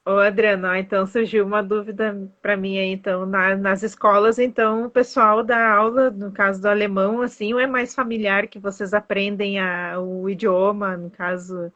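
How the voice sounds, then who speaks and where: A woman's voice: medium pitch, flat delivery, normal speed, hardly any pauses, a bit bright. A woman from southern Brazil, hosting a casual live-stream chat as a tour guide, full of fillers. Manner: chatty, many fillers like "né" and "aí". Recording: dull lo-fi live-stream audio.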